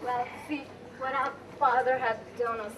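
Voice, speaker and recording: High-voiced young woman as a spirited bride-to-be. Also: level tone, unhurried, a bit bright. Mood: hesitant. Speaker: student actor. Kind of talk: amateur stage play acting. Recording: distant mic, noisy room.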